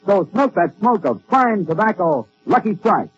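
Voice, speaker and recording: A muffled old radio recording carries a male commercial announcer with a fairly high, very animated voice. He delivers an emphatic sales pitch in 1940s advertising style. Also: moderate pace, breathy edge, soft background music.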